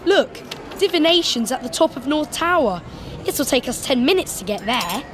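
A man's voice: very high-pitched, very animated, moderate pace, background noise, pitched above the performer's own voice. A man voicing an anxious, incredulous teenage schoolboy in an exasperated tone.

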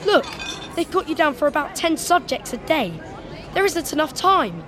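A man's voice: extremely high pitch, sing-song, moderate pace, over music, crowd noise, voice pushed up. A man voicing an anxious, incredulous teenage schoolboy in an exasperated tone.

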